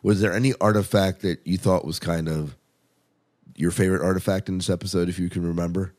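A man with a low voice hosts a casual podcast chat. He talks informally, reacts with open enthusiasm and steers the talk with questions. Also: steady tone, fairly quick, darkish tone. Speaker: podcast host. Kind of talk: casual TV show discussion.

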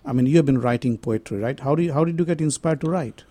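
Male radio host, mid-pitched mellow voice, dry studio sound, polite and halting. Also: no room sound. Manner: hesitant, many fillers, polite and welcoming. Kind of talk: radio interview.

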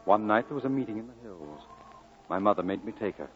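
A man's voice: lowish voice, expressive, quick pace, lightly acted. An actor playing a grown man recalling his boyhood, narrating solemnly and lyrically in 1940s radio style. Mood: solemn, reminiscent. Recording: dull old radio broadcast, clear music behind.

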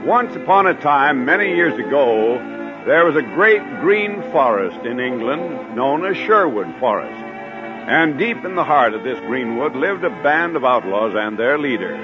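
A man's voice: mid-range voice, sing-song, a little slow. A man hosting and telling stories on a 1950s children's radio show in a hearty, folksy way. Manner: hearty, folksy address to young listeners. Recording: dull old radio recording with music.

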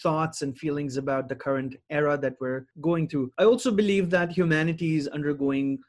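A man's voice: on the high side, a little fast. A man hosting an interview show, welcoming his guest and praising his work with enthusiasm. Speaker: podcast host.

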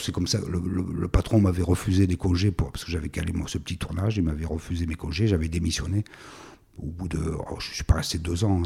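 Middle-aged southern French filmmaker, very low voice, halting delivery full of restarts. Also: male voice, vocal fry, mellow, husky. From southern France. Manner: halting, many restarts and fillers. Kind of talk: recounting his career.

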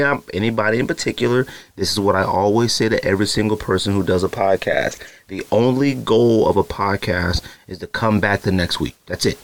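A man's voice: fairly low pitch, dry recording. A man in his thirties hosting a solo sneaker podcast from his home studio, talking casually to his listeners with teasing, hyped-up direct address and lots of fillers. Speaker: podcast host.

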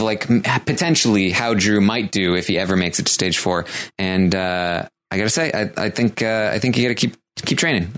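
A man's voice: lowish voice, fairly bright tone. Dry-witted man co-hosting a podcast. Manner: dry teasing humor. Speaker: podcast co-host. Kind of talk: casual podcast chat.

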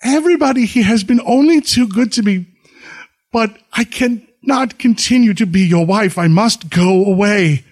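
A man's voice: very high-pitched, moderate pace, pitched above the performer's own voice. A man reading a storybook, voicing a frightened young bride who pleads in a trembling, tearful way. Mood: pleading.

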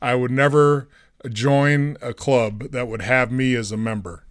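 A man's voice: medium pitch, steady tone, unhurried, clear, resonant tone. A man hosting a podcast, reading a cheerful intro and then chatting with a guest over a remote call. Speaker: podcast host. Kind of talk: scripted show intro, casual chat.

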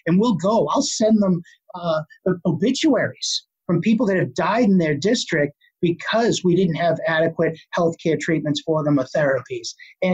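A middle-aged speaker with a Boston accent, answering an interview question in a confident, forceful, passionate way. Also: mid-range voice, slightly rough. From Boston, USA. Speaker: guest, health advocate. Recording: dull lo-fi recording.

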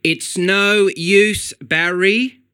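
A man's voice: high voice, expressive, moderate pace, bright, forceful tone, no room sound, studio quality, voice pushed up. A man doing a cheeky, earnest pigeon. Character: chatty, cheeky schoolyard pigeon. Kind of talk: storybook dialogue.

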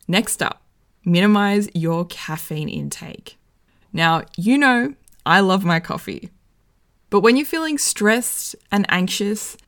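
A woman with a slightly croaky voice giving casual, enthusiastic wellness advice. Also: mid-range voice. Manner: friendly, enthusiastic, conversational. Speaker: wellness vlogger.

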